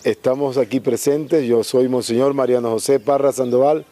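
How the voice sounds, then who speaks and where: Older man, warm dark tone, measured delivery, formal greeting, outdoors. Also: mid-range voice, steady tone. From Venezuela. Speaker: bishop, youth ministry leader. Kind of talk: formal group greeting to camera. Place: outdoors in a garden courtyard.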